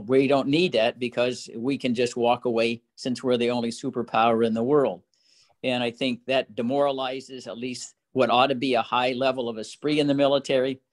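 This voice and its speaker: An older man in his sixties speaking deliberately, with frequent fillers, on a video call from a small room at home. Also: medium pitch. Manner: measured, deliberate, with many "uh" fillers. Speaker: panelist. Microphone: laptop webcam on a video call.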